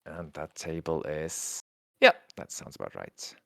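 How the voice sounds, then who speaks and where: A man's voice: a bass voice, very animated, measured pace, creaky, a bit bright. A man with a German accent doing casual livestream commentary, chatting with his viewers, reading their messages aloud and laughing often. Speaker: tournament co-commentator.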